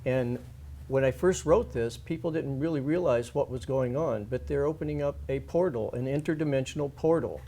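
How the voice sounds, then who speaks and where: A man with a soft, mellow tone and a mid-range pitch talks in an unscripted radio interview. He sounds earnest about what he says and leans on key points, repeating them for emphasis. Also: normal speed. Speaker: alternative-media guest and writer.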